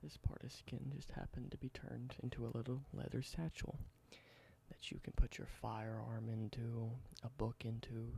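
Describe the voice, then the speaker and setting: Male ASMR creator with a gravelly voice and a mid-range pitch who whispers slowly through a roleplay. The recording has audible hiss. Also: little pitch movement. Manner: soft whisper slipping into low spoken voice, unhurried. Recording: noticeable background hiss.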